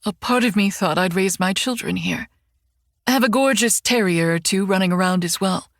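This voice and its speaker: A woman voicing a tender, emotional young woman who is crying, in a soft, halting audiobook reading. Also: medium pitch, no echo, clean studio sound, natural voice. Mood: wistful. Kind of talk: audiobook character voice.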